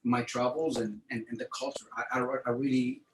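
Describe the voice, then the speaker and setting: A man's voice: mid-range voice, fairly even intonation, fairly quick, a loud crowd. A man telling a travel story on a casual podcast chat, with many repeated words and restarts. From Tunisia. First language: French. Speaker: podcast host. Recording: echoey room, faint noise.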